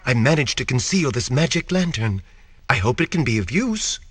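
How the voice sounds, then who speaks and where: A man's voice: mid-range voice, sing-song, normal speed, fairly bright tone, husky, no echo. An actor playing a pompous, courtly town mayor in a cartoon video game, formal and stuffy in his politeness. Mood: grateful. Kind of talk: 1990s video game cartoon cutscene acting.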